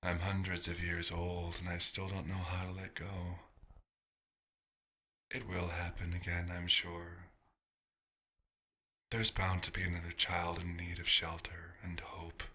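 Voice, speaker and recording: Low, husky, unhurried vampire played by a man. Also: monotone, sharp tone, exaggerated voice. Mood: weary, resigned. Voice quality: husky, breathy. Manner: slow, musing, halting pauses. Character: centuries-old male vampire doctor, wistful, dryly wry, fatherly. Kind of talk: soft-spoken ASMR roleplay monologue.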